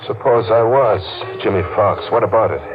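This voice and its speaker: A man's voice: lowish voice, normal speed, close to an everyday voice. An actor playing a nervous, guilty man who stammers and gets defensive, in 1940s radio acting style. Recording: tinny old radio recording with music.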